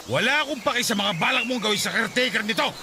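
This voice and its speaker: A man's voice: very high voice, lively intonation, racing, heavy background noise. An actor with a Filipino accent playing an abusive, domineering father of sixty in a Tagalog radio drama, scolding and insulting. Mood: angry, scolding.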